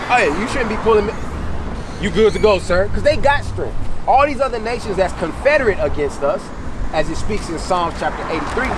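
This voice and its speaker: An American man preaching in an impromptu, colloquial street sermon, exhorting and repeating phrases for emphasis. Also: high-pitched. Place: outdoors on a city street. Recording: loud outdoor traffic and street noise.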